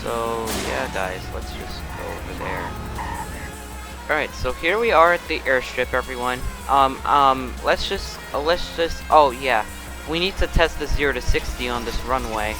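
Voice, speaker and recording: A man's voice: medium pitch, lively intonation, a little slow, over music, rough recording. A young man hosting a casual car review of a game vehicle, rambling with many restarts and fillers. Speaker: car review channel host.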